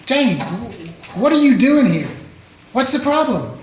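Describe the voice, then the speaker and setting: A man's voice: very high-pitched, sing-song, takes it slowly, a little hiss or hum, heavily acted. A man playing a folksy frontier cowboy. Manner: plain-spoken, folksy, takes charge. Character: steady frontier cowboy man who leads the chase. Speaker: narrator and lead character. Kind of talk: community radio play acting. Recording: echoey room with guitar music.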